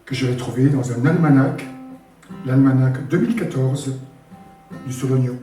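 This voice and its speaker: Hoarse man telling a folk tale. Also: medium pitch, normal speed. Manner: lively, animated storytelling. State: hoarse, damaged voice. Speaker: storyteller at an open stage. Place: live open-mic stage in a hall. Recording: very echoey hall from far off, faint guitar.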